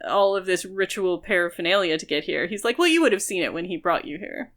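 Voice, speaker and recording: A woman's voice: fairly low pitch, animated, fast talker, a bit bright. A woman co-hosting a podcast, casually discussing a book and explaining her reading at length in an analytical way. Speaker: podcast co-host.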